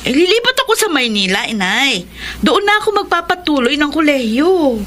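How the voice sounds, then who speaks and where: A woman's voice: high voice, very animated, moderate pace, fairly bright tone. A woman playing a hopeful, studious young elder sister in a Tagalog radio drama. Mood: excited. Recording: noisy radio drama soundtrack.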